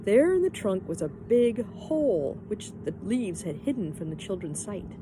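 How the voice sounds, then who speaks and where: A woman's voice: mid-range voice, very animated, moderate pace, background noise. A woman narrating a children's story expressively, like a teacher reading aloud.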